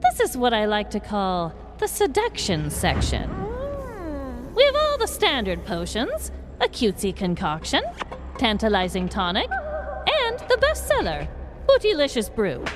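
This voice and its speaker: A woman voicing a sly, ominous old witch shopkeeper in a scripted Halloween podcast skit, theatrical and drawn-out. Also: on the high side, wildly swooping pitch, over music. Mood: sly, coaxing.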